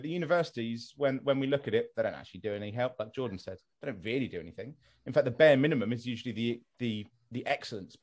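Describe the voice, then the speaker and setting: A man from England on a podcast, criticising institutions in an animated, restarting way. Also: mid-range voice. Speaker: podcast co-host. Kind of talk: casual podcast discussion.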